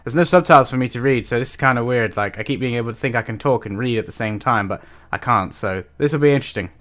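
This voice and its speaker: A man's voice: medium pitch, quick pace, fairly bright tone. A man hosting a reaction video with casual, amused, rambling off-the-cuff commentary.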